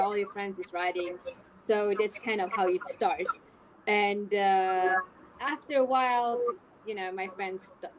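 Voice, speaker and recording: A woman's voice: mid-range voice, slight background noise, low-quality recording. A woman in her thirties with a Swedish accent, chatting casually as an interview guest. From Sweden. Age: 30s. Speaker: interview guest, equestrian athlete.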